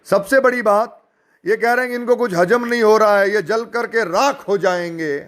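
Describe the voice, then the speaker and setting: Male, notably high voice; informal rebuttal delivered with mockery, indignation and pointed rhetorical questions. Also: moderate pace, a bit bright. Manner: sarcastic, mocking. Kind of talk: informal spoken rebuttal, addressing listeners directly.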